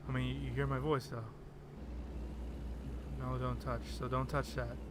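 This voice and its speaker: Soft-spoken man streaming a game. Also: medium pitch, little pitch movement, unhurried, noisy background. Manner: soft-spoken, hesitant, many fillers. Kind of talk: casual live-stream chat while playing. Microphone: desk microphone on a computer.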